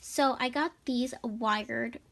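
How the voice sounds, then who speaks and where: A young woman vlogger with a raspy, stuffed-up voice, chatting bubbly and casually through a shopping haul. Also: on the high side, slow, a bit bright. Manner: bubbly and chatty, many fillers. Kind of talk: casual haul vlog chat.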